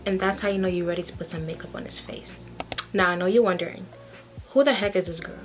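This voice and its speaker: A woman's voice: fairly low pitch, fast, music in the background. Chatty young woman from Haiti. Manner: chatty, frank, says "okay?" often. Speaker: beauty vlogger. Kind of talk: casual get-ready-with-me chat.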